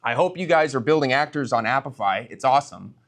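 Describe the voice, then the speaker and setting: A man's voice: mid-range voice, lively intonation, fast, fairly bright tone. A man speaking as a startup founder at a tech meetup, conversational, addressing the audience directly and posing rhetorical questions.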